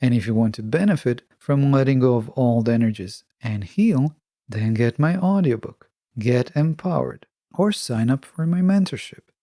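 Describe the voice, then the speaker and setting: A man hosting a self-help podcast, speaking in an earnest, instructive way with measured, scripted phrasing. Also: mid-range voice, animated, mellow, no echo, clean studio sound. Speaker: podcast host.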